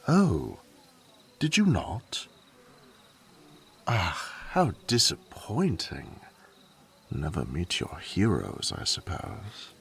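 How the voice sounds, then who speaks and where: Casting: actor as a deep-voiced, slow-talking loyal courtier for fantasy audio drama. Theatrical, silver-tongued, dryly teasing. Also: male voice, huge pitch swings, gravelly, fairly bright tone, slight background noise, full character voice. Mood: mock disappointment.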